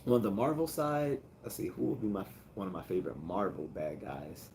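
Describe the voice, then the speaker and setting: Calm low-voiced man chatting on a livestream. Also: fairly quick, slight background noise. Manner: rambling, with many fillers and restarts. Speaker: livestream host. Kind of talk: casual livestream chat, reading comments.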